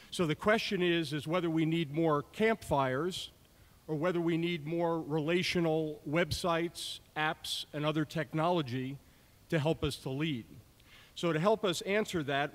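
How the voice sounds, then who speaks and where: A man in his fifties moderating a panel, giving a prepared introduction to an audience at a stand microphone in an auditorium. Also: on the high side. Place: large auditorium stage. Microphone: table stand microphone. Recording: echoey large hall through PA.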